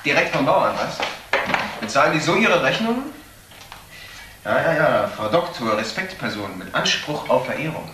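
Male actor as sardonic layabout nephew, crime drama; medium pitch, forward bright voice, some background noise. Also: sing-song, moderate pace, close to an everyday voice. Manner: mocking, flippant. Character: sardonic, idle nephew of a stern doctor. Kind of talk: German crime drama acting. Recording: background noise, car rumble in places.

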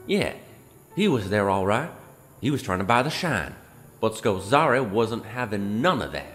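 A country bootlegger played by a man, answering questions in an audio drama. His pitch swings about and his voice rings in a reverberant space, with faint music. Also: very animated, normal speed, a bit bright. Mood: submissive, confessing. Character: rustic male bootlegger underling confessing under questioning.

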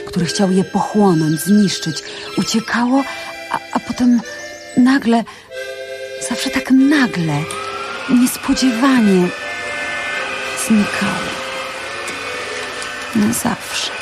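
An actress playing a wistful, wary woman in a radio drama, speaking in a measured, pausing way. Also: mid-range voice, natural voice.

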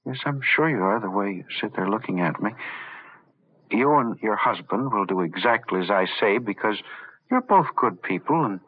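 An actor playing a smug, power-mad little man with mock-polite, halting speech in an old radio horror drama. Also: fairly low pitch, expressive, slightly rough, dull audio. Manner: mock-polite, halting, taunting tag questions. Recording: band-limited vintage radio drama recording.

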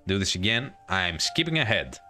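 A man's voice: on the low side, very animated, normal speed, bright, forceful tone. A man hosting a gaming video, giving commentary on a visual novel playthrough. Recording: music behind the voice. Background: game music.

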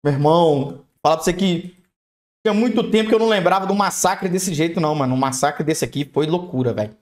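A young Brazilian man doing excited live game commentary, repeating himself and swearing in slang. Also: a little high, fast. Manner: excitable, repetitive, heavily profane slang. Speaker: gaming streamer. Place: indoor room, webcam streaming setup.

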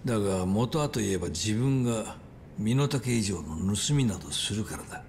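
An actor playing a composed middle-aged yakuza boss in a dramatic game scene, measured, grave and authoritative. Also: male voice, fairly low pitch, expressive, darkish tone, a little hiss or hum. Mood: stern, grave. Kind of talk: dramatic video game acting in Japanese. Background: music.

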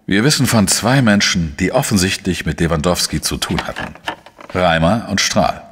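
Male for crime radio play: shrewd, matter-of-fact reporter-sleuth, very low husky voice, reverberant sound. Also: animated, fairly bright tone, slight background noise. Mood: measured reasoning. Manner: measured, explanatory, matter-of-fact. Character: shrewd, dry male investigative journalist, narrating his case. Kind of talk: German crime radio play, narration and dialogue.

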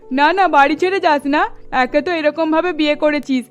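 High-pitched emphatic cartoon mother-in-law, played by a woman. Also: a little fast, faint music behind, close to an everyday voice. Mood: pleading, flustered. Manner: emphatic, exclaiming, scolding or gushing. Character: scolding middle-aged Bengali mother-in-law, easily won over. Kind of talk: melodramatic cartoon dubbing.

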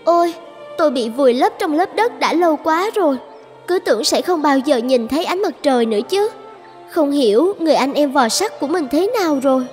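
A woman voicing a humble, earnest ceramic jar in an animated fairy tale. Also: high voice, fairly quick, faint music behind. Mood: wistful. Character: humble, gentle ceramic jar that wants equality.

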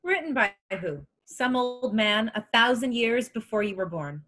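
A woman's voice: fairly high pitch, lively intonation, moderate pace, fairly bright tone. Woman reading as older female general, wise, weary, motherly, film script table read. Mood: tender, earnest. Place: home, video call.

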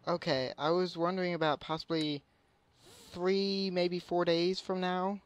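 Young man, smooth clear voice, fairly high, hesitant, apologetic, phone conversation. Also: flat delivery. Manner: halting, apologetic. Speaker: prank caller. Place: online voice call.

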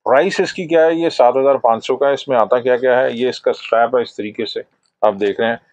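Man, middle-aged, shop product review, halting explanatory delivery, dry close sound. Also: medium pitch, darkish tone, dry recording. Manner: explanatory, hesitant with frequent "uh" fillers. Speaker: shop owner reviewing binoculars. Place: binocular shop, indoors, shelves of boxes. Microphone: lapel mic.

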